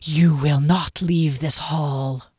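A woman's voice: low voice, steady tone, slow, a little mellow, slightly rough, dry recording, clean studio sound, pitched below the performer's own voice, full character voice. A woman voicing a menacing ethereal cave guard who interrogates and commands with a hissing, accusing delivery in a fantasy audiobook. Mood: stern, threatening.

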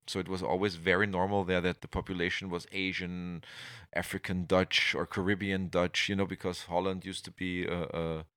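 Deep-voiced Austrian man, rambling. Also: little pitch movement, normal speed, hardly any pauses, fairly bright tone, clean tone, no room sound, clean studio sound. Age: middle-aged. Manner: rambling, many restarts and fillers. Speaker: guest. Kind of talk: conversational interview.

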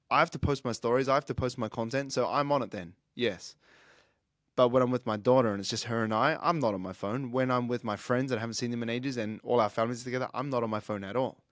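A man's voice: medium pitch, fast talker, fairly bright tone, no echo. Earnest thirty-something man, dry close sound. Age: 30s. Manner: earnest, reflective, with frequent restarts. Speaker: podcast host, fitness coach. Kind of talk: casual monologue.

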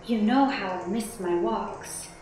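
A woman's voice: mid-range voice, takes it slowly, darkish tone, lightly acted. A woman playing an anxious, placating wife in radio play dialogue, tentative and pleading with her husband. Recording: very echoey room, faint noise.

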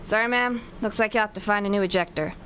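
An actress playing a deadpan young woman who fixes robots, wry and casual, in a comedy sci-fi audio drama. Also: mid-range voice, fast, natural voice. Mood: matter-of-fact. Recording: clear background ambience noise.

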